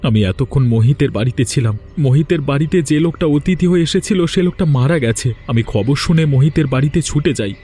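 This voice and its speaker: A man's voice: fairly high pitch, expressive, fast, hardly any pauses, mellow, lightly acted. A man playing an earnest village father of a kidnapped daughter in a Bengali cartoon. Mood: astonished. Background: background music.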